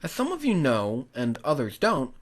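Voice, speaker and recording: Animated man narrating a tutorial. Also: medium pitch, moderate pace. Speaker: tutorial host, hobby video creator.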